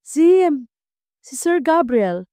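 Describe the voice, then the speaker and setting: A woman's voice: high-pitched, very animated, slow pace, mellow, no echo, studio quality, voice pushed up, exaggerated voice. A woman voicing a sweet, ambitious young female receptionist in an audio love story. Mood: nervous.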